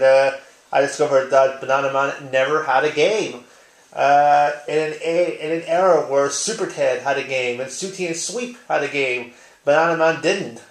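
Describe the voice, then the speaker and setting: A man talks casually to his viewers in an echoey living room. His pitch sits in the middle range, and his manner is informal and blunt, like someone recording a home vlog. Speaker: video blogger. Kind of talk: casual tag-response vlog chat.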